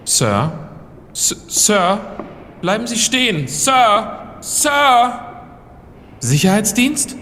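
A man's voice: sits high, sing-song, drawn-out words, long pauses, bright, forceful tone, exaggerated voice. An actor playing a polite, formal doorman and gatekeeper in a German audio drama. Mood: alarmed, shouting. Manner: courteous, formal. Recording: echoing hall, background noise.

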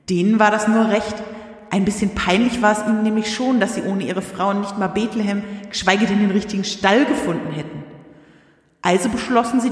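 The voice rings with long reverb in an echoey hall. It is God as a down-to-earth, indignant woman, acted by a woman in an audio drama, grumbling colloquially with a sardonic edge at a middling pitch. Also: natural voice. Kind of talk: audio drama acting, conversational monologue.

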